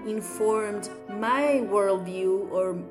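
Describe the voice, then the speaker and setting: A woman's voice: medium pitch, drawn-out words, over music. Chilean woman telling a personal story. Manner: reads a survey aloud, then tells her story with fillers.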